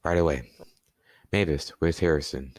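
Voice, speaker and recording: A student performer playing a gruff police sergeant in an old-time radio play. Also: rumbling low, normal speed, no echo. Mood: businesslike. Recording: school radio play with music behind.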